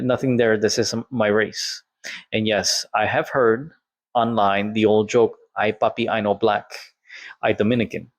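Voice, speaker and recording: A man's voice: fairly low pitch, no room sound. Man telling a story, dry sound. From Colombia. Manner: candid, conversational, quotes others in dialogue. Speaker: vlogger. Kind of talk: personal storytelling monologue.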